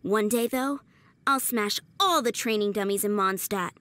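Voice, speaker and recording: A woman's voice: medium pitch, normal speed, a bit bright, dry recording, lightly acted. A woman playing an earnest, eager young aspiring knight in a video game, enthusiastic and modest. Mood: weary but friendly. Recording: faint background music.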